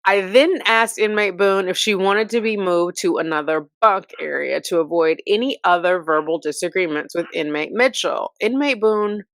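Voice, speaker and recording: A woman's voice: on the low side, moderate pace, few pauses, no echo. An American woman hosting a commentary channel, reading reports dramatically and breaking into sassy, conversational asides.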